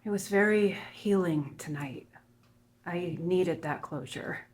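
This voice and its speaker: A low, slow female voice from an actress at a table read plays a weary woman. She speaks softly and breathily. Faint clicks sound in the background. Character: weary, soft-spoken woman, Gretchen. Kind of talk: screenplay table reading.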